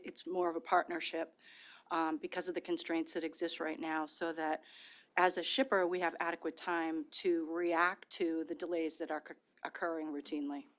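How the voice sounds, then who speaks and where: A hoarse woman committee member giving earnest remarks at a meeting, checking in with listeners as she talks. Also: sits low.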